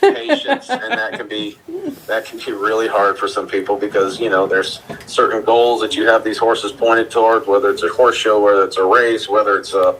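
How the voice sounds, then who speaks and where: Rambling man with a bright, low voice. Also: moderate pace, vocal fry, slight background noise, poor audio. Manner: relaxed, rambling with many fillers. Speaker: veterinarian guest. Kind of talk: casual interview.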